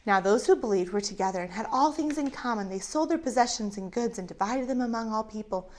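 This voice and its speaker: A woman in her thirties with a lapel mic, telling a Bible story to children in an animated way with acted-out voices. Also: fairly high pitch, fast. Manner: animated, acts out voices of characters. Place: indoors in front of a map backdrop.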